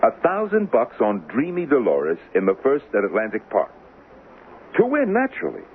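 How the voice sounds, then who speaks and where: An actor playing the Devil as a smug, suave, grandly self-assured swindler in an old-time radio drama. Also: male voice, mid-range voice, wildly swooping pitch, moderate pace. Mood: confident, sly. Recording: noisy, tinny old radio broadcast recording.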